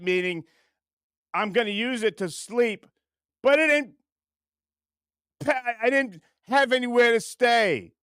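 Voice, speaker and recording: A man's voice: high-pitched, a bit bright, clear, resonant tone, dry recording, clean studio sound, exaggerated voice. A man voicing a whiny, entitled puppet comedian in an exaggerated, self-important parody monologue. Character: whiny, entitled, high-voiced puppet comedian, indignant diva.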